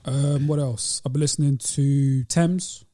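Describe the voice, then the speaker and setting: A man with a British accent talks in a relaxed, mellow voice. He co-hosts a podcast and keeps it casual and slangy. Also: medium pitch, measured pace. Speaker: podcast co-host.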